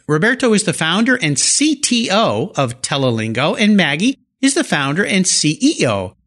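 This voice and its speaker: A man's voice: sits high, a bit bright. A man hosting a car podcast interview, enthusiastic and fond of playful car puns. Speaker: podcast host.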